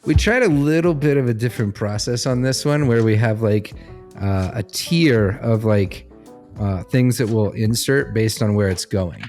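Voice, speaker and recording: A man's voice: fairly low pitch, moderate pace, darkish tone, soft background music. A man hosting a podcast, talking casually to listeners and fellow guests. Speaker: podcast host.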